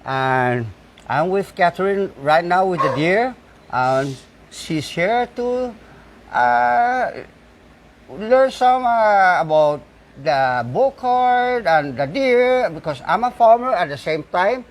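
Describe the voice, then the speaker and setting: Man, 60s, slightly high pitch, halting speech, many fillers. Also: animated, a little hiss or hum. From Saipan, Northern Mariana Islands. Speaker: bull cart maker and farmer. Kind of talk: casual interview talk. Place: outdoors at his home.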